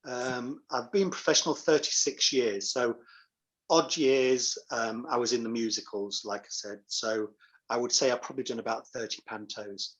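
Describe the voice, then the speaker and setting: A man's voice: mid-range voice, steady tone, normal speed. A middle-aged or older man chatting casually and enthusiastically as an interview guest, repeating phrases for emphasis. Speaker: pantomime dame, interview guest. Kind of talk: casual chat.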